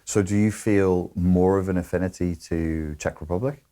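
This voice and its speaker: Male host, very deep voice, casual interviewer who asks probing questions and makes dry jokes. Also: fairly even intonation, moderate pace, dark tone. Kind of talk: casual conversation.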